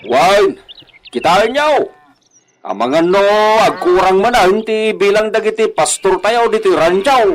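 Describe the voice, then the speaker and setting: Man acting a ranch boss, high voice, commanding, instructing, Ilocano radio comedy drama. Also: lively intonation, unhurried, low-quality recording. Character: commanding ranch boss giving orders to hands.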